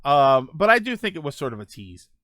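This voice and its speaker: A man's voice: a little high, big pitch swings, a little fast, pushed and bright, no room sound, studio quality. A man hosting a casual live-stream show, answering viewer comments in a loose, rambling way with lots of fillers. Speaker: livestream show host.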